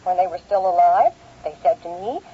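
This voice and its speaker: An actress playing a brusque, skeptical, sarcastic older sister, heard through a telephone filter in a radio drama. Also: female voice, lowish voice, lively intonation, brisk, dark tone, husky. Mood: scornful, irritated. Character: sharp-tongued, skeptical, irritable older sister. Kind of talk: old-time radio drama acting. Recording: thin, narrow telephone-filtered radio sound.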